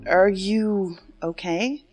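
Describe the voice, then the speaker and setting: An actress playing a terse, weary federal agent in an audio drama, with a dry manner. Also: female voice, medium pitch, drawn-out words, music in the background. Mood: concerned.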